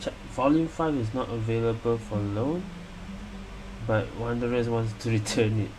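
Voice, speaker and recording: A man's voice: mid-range voice, moderate pace. Man casually commenting over a game, noisy room. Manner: musing, reacting aloud to the game. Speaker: gaming streamer. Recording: steady hum of room noise.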